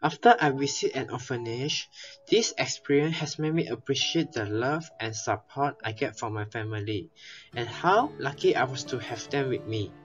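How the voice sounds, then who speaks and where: A man's voice: medium pitch, highs cut off. A young man, a student, reading an earnest reflection at a careful pace. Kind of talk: read-out reflection.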